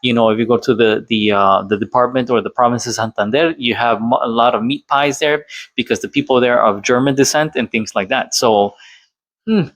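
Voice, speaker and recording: A man's voice: mid-range voice, a little fast, fairly bright tone, dry recording. Rambling Colombian-born male commentator. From Colombia, Cali. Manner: rambling, many fillers and restarts. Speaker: channel host reacting to a clip. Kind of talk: casual opinionated commentary.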